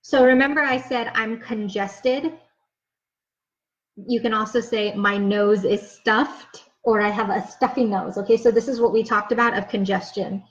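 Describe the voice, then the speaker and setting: Slow, patient female English teacher. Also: fairly high pitch, fairly even intonation. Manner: patient, repeats words and checks with "okay?". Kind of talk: slow, clear ESL lesson explanation. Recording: slightly dull, lo-fi sound.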